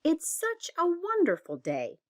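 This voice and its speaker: A woman voicing a friendly, frank animal neighbor in a children's storybook, making matter-of-fact observations and gentle questions. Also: very high-pitched, wildly swooping pitch, measured pace, pitched above the performer's own voice, exaggerated voice. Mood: frank concern.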